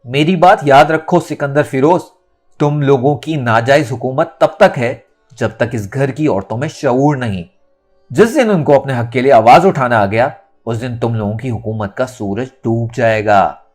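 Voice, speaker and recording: A man voicing a defiant young woman standing up for her rights in an audiobook, forceful and emphatic. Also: medium pitch, measured pace, faint music behind, natural voice. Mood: angry, defiant. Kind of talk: dramatic audiobook character acting.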